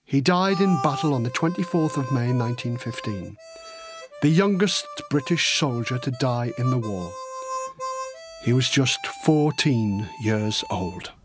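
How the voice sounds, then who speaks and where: Animated male audiobook narrator. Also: mid-range voice, unhurried, over music. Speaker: author reading own audiobook. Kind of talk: dramatic scripted children's history narration.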